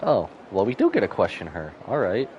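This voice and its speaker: A man's voice: on the low side, wildly swooping pitch, fairly quick, darkish tone, dull audio, a little hiss or hum. A man giving casual live commentary on a game, musing aloud and wry as he streams.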